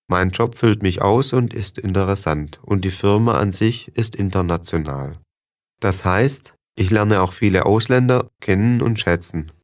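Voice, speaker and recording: A man reading scripted texts for language learners in an even, measured way. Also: deep voice. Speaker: reader of listening texts.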